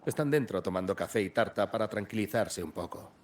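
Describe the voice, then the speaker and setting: A man's voice: on the low side, animated, brisk, natural voice. An actor playing a friendly, easygoing male small-town sheriff in a dubbed video game, with a hospitable, folksy way of talking. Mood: genial welcome.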